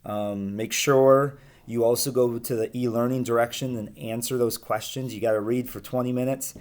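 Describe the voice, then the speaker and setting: Male teacher, medium pitch, picture-book storytelling and relaxed class talk. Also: moderate pace. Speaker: schoolteacher reading aloud to students.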